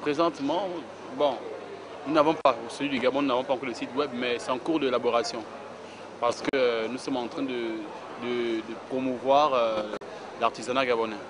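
A man, an artisan, answering a street interview in a halting way with many fillers and repeated words. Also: medium pitch. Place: indoor craft fair stall. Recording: steady background noise.

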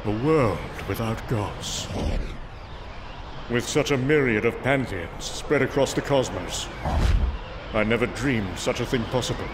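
Man acting a grand, slow-talking thunder god. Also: mid-range voice, background noise, natural voice. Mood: grave, brooding. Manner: grand, theatrical, archaic formal diction. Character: boastful, mighty Norse thunder god, proud warrior storyteller. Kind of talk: dramatic comic book reading with narration.

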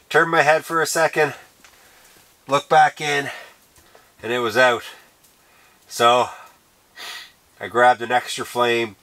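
A middle-aged man talking casually to camera while winter camping in a canvas tent, relaxed and laughing at himself. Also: medium pitch. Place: inside a canvas hot tent with a wood stove, in snowy woods.

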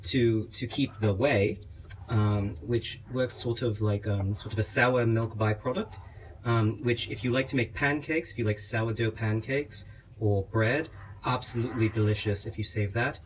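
A young man playing a genteel, affectionate elf king giving a gentle, hesitant, casual cooking demonstration. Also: lowish voice, a little slow, natural voice. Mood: gentle, instructive. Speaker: cooking show host. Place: small home kitchen, indoors. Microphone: camera a step or two away. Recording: clearly noisy kitchen recording.

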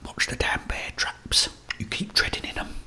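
A man's voice: moderate pace, pushed and bright. A middle-aged man with an English accent whispering ASMR commentary as he plays a game, muttering reactions and talking to the characters. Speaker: gaming ASMR host.

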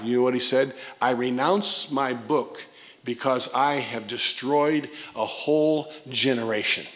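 A man's voice: mid-range voice, fairly bright tone. Older man preaching a folksy sermon. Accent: Midwestern American. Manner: folksy storytelling preacher, emphatic asides. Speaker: pastor. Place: church sanctuary, indoors. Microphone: pulpit microphone.

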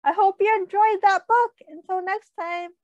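A young adult woman reads a picture book aloud to young children as a teacher, explaining things and putting questions to the listener in a deliberate way. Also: very high-pitched, fairly even intonation, a little mellow, no echo, lo-fi sound.

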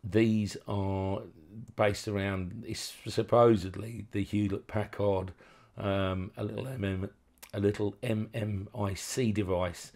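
A man presenting as an electronics hobbyist, explaining things informally and haltingly, often correcting himself as he goes. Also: lowish voice, fairly even intonation, darkish tone. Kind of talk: informal technical walkthrough.

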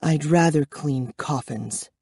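A woman's voice: fairly low pitch, unhurried, warm tone, no room sound, studio quality. A woman voicing a frightened, bitter twelve-year-old girl in an audiobook, with a trembling, urgent delivery. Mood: grim. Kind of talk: acted audiobook dialogue.

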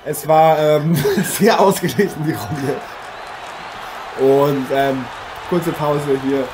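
A man playing an eager, chatty boxing commentator and interviewer in an improvised radio play, polite and halting. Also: on the high side, crowd noise. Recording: noisy, with arena ambience behind.

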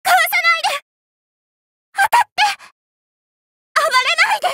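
A woman's voice: extremely high pitch, expressive, unhurried, sharp tone, no room sound, clean studio sound, full character voice. A woman voicing a timid, apologetic young girl warrior in a fighting game, meek and pleading. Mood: panicked, crying out. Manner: meek, pleading, breaking into cries and battle grunts. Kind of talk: anime fighting game voice acting.

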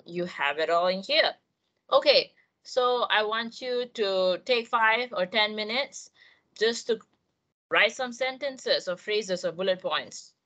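A woman's voice: medium pitch, fairly bright tone, lo-fi sound. A young woman with a Malaysian accent giving an encouraging online English writing lesson, speaking directly to her students.

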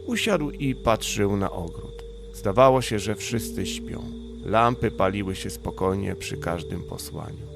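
A man's voice: on the low side, animated, unhurried, creaky. A man narrating a children's bedtime audiobook in the style of a storyteller. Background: music.

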